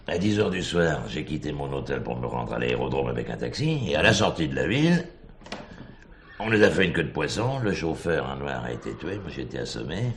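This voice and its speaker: A man's voice: rumbling low, a little hiss or hum, exaggerated voice. A man playing a courteous, self-assured diamond broker in a 1970s French stage-style drama. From Transvaal, South Africa.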